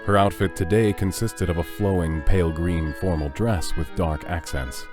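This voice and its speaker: A man's voice: low-pitched, steady tone, moderate pace, over music. A man narrating a scripted audio drama as a storyteller.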